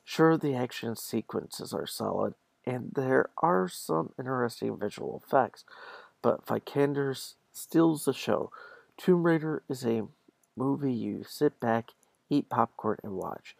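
Creaky-voiced man hosting a podcast. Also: medium pitch, expressive, unhurried, no echo. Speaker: podcast host. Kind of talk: reading an article aloud with commentary.

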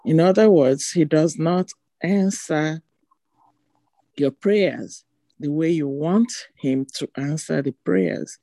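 A hoarse woman from a church congregation giving a halting, reflective comment over a video call. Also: low-pitched, mellow, no room sound. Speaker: congregation member. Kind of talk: spoken comment during online service.

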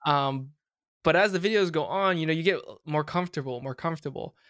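A man's voice: on the high side, brisk, fairly bright tone, no echo, clean studio sound. Young man rambling on a podcast. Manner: rambling, many fillers and restarts. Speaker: podcast host. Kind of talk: casual podcast conversation.

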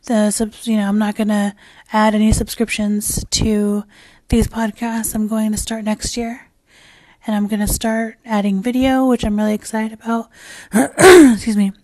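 A woman hosting her own podcast in a casual, rambling solo chat, her voice raspy and hoarse as she loses it, with plenty of fillers and restarts. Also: mid-range voice, level tone, unhurried, darkish tone, dry recording. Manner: swears casually. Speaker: podcast host.

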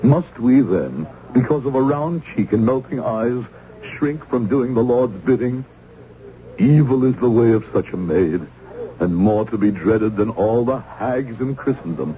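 An actor playing a stern, righteous Puritan reverend in an old-time radio drama, speaking in formal, archaic, moralizing phrases. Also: male voice, medium pitch, expressive, a little slow, warm tone, close to an everyday voice. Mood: grave, firm. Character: stern zealous Puritan reverend, righteous witch-hunting preacher. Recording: muffled, noisy old radio broadcast.

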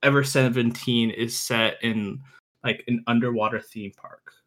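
A man's voice: medium pitch, fairly even intonation, unhurried, fairly bright tone. A man in his twenties, a podcast guest, explaining things casually and informally, often repeating words as he goes. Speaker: podcast guest, visual novel reviewer. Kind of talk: casual chat, explaining and recommending.

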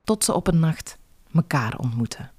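Female podcast presenter, Flemish accent, deep-pitched, scripted introduction, very dry studio-like sound. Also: big pitch swings, moderate pace, darkish tone, breathy edge, no echo.

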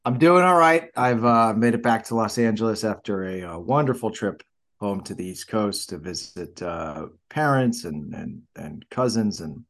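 Lowish-voiced man, halting casual chat. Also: a bit bright. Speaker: podcast co-host.

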